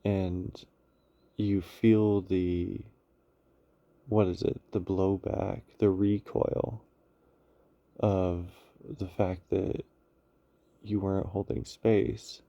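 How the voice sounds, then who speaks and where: A man giving an unscripted, reflective monologue on inner life, halting and searching for his words as he goes. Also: deep voice, flat delivery, creaky, no echo, highs cut off. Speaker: speaker on spirituality and psychology.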